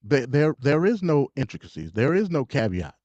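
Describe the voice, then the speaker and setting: Man, American accent, stutter, emphatic political commentary, slangy and repetitive, mid-pitched voice, muffled lo-fi stream sound. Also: very animated, normal speed, a little mellow, no room sound. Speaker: live stream host. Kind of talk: casual opinionated political commentary. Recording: dull, lo-fi live stream audio.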